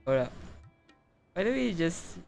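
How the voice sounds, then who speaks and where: A woman's voice: fairly low pitch, very animated, unhurried, creaky, a little mellow, soft background music. A woman streaming a game, casually commenting in short asides. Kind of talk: casual live gameplay commentary.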